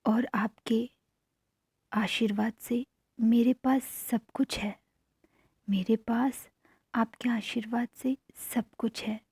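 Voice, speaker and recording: Woman, whispered breathy voice, slow pace, dark soft tone, guided meditation affirmations, short hushed phrases. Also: fairly high pitch, fairly even intonation, no echo, clean studio sound.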